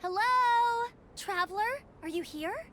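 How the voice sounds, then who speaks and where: A woman's voice: very high voice, slow, over loud music. A woman voicing a friendly, helpful young female scout in a game. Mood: upbeat. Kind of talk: scripted game dialogue.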